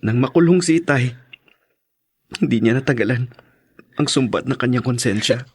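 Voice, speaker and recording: A man acting an earnest, wounded young son in a radio drama, with a husky voice and a sombre manner. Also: medium pitch, normal speed, no room sound. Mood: pained.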